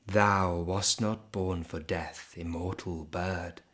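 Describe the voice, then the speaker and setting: Slow, solemn man reciting poetry. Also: low voice. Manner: solemn, expressive, measured phrasing with pauses. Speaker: poetry reader.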